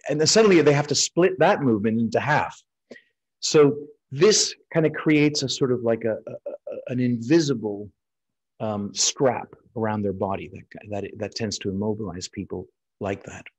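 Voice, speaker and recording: An American man, middle-aged, lecturing as a surgeon in an informal, explanatory style with a slightly husky voice. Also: mid-range voice, normal speed, poor audio. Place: indoors, on a video call over slides.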